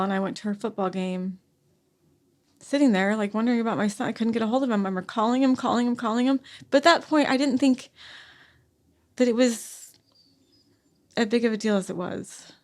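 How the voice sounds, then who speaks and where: A woman in her forties, a podcast guest telling a personal story in conversation. She speaks softly and haltingly, with frequent sighs and restarts. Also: a little high.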